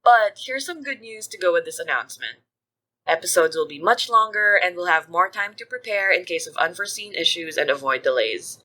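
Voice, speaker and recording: A woman's voice: fairly low pitch, expressive, brisk, bright, forceful tone, low-quality recording. A woman podcast producer reading scripted credits and announcements to listeners.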